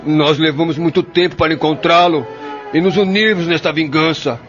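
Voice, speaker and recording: Casting: male actor as tormented vengeful ghost, high strained pleading, dull sound under loud music. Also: normal speed, lightly acted. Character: tormented vengeful male spirit, struggling to explain himself. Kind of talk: audio drama acting. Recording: muffled lo-fi, loud music behind.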